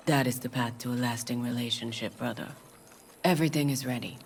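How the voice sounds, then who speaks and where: Cryptic calm fixer lady, video game, formal measured talk, slight background noise. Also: very deep voice. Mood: knowing. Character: cryptic, self-possessed female nomad tech fixer.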